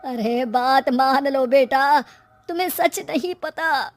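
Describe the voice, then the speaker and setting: A woman's voice: high voice, takes it slowly, faint music behind. A woman voicing a worried, superstitious village headman with a cautioning, evasive manner.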